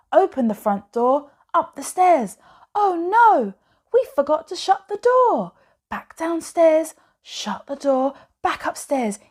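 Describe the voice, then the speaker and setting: Slow-paced woman reading a children's storybook. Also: high voice. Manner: slow, measured, dramatic storytelling for young children. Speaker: storybook narrator. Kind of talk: expressive read-aloud of children's bedtime stories.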